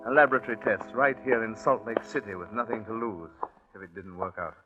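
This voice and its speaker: A man acting a scheming, smooth-talking swindler in a 1940s radio suspense drama, telling his story in a confiding, polite way. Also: on the low side, fairly even intonation, a little fast, natural voice. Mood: calculating. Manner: confiding first-person storytelling, polite in dialogue. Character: scheming, smooth-talking male swindler confessing his long-shot con. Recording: dull 1940s radio recording, music behind.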